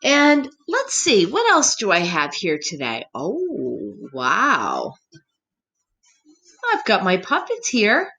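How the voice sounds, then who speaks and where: A woman leads story time for small children at a very unhurried pace. Her medium-pitched voice rises and falls widely as she speaks in simple phrases and puts gentle questions to her young listeners. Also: wildly swooping pitch. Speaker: storyteller reading a picture book to children.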